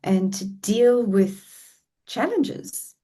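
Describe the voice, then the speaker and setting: A woman's voice: on the high side, sing-song, drawn-out words, warm tone. A middle-aged woman with a South African accent, telling her life story as a guest on a podcast in a reflective, heartfelt way. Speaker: relationship coach guest. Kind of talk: podcast interview.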